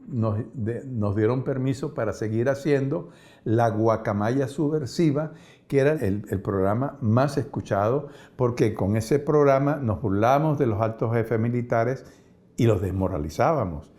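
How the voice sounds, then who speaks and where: An older man recalling past events in an interview, haltingly searching for words. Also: mid-range voice, a little mellow. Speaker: interviewee, former guerrilla radio member. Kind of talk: spoken recollection in interview.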